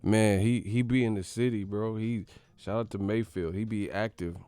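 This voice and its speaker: A man's voice: fairly low pitch, steady tone, moderate pace, warm tone, clear, resonant tone, dry recording. A man hosting a boxing channel who rants in a slangy, profane way, laughing and mocking as he talks. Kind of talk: profane comedic vlog rant.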